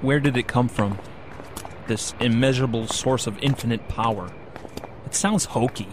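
A man's voice: mid-range voice, normal speed, noisy background, lightly acted. A man playing a curious, skeptical everyman companion in an audio drama, with a casual questioning manner. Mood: puzzled, skeptical.

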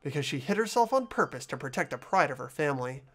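A man's voice: on the high side, sing-song, fast talker, fairly bright tone, full character voice. A man voicing an earnest, sympathetic and unsure teenage schoolboy in a video game, with a hesitant delivery. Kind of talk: amateur video game voice acting. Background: background music.

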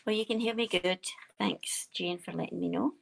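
A woman with a quiet voice, chatting and explaining each step as she demonstrates card making at her craft desk. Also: fairly low pitch, normal speed, gravelly, slight background noise. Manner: chatty, explaining steps while working.

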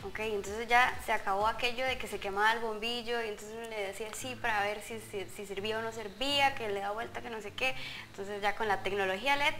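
A woman's voice: fairly high pitch, fairly even intonation, fast, pushed and bright, a little hiss or hum. A young woman hosting a TV studio interview, chatty and prompting, often finishing the guest's sentences. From Central America. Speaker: TV host. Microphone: lapel.

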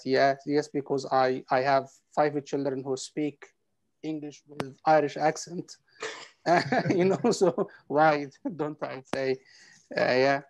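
A man's voice: mid-range voice, darkish tone, no room sound, low-quality recording. A man in his forties from Egypt, an imam, introducing himself hesitantly with many fillers on a video call from home. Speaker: imam and project coordinator. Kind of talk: panel self-introduction. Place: home room, indoors.

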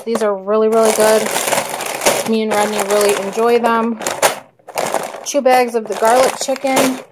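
A young woman with a slightly high voice chats unhurriedly through her grocery haul. She talks eagerly about the food she likes, recorded on a handheld camera with noticeable noise behind her. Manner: chatty, enthusiastic about food. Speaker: vlogger. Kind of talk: casual grocery haul vlog. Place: parked car, then kitchen.

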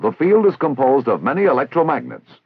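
An American man reading scripted instructional narration in a formal, measured, didactic way, as on a 1960s training film soundtrack. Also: mid-range voice, sing-song, creaky, breathy edge, no room sound, phone-quality sound. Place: recorded voice-over.

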